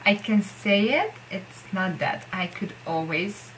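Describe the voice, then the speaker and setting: A woman's voice: medium pitch, animated, slow, fairly bright tone, a little hiss or hum, low-quality recording. A young Hungarian woman with a Hungarian accent, speaking English as a second language and chatting casually to camera in her bedroom, rambling with many filler words. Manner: rambling, lots of filler likes, self-deprecating. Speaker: vlogger. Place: bedroom with posters.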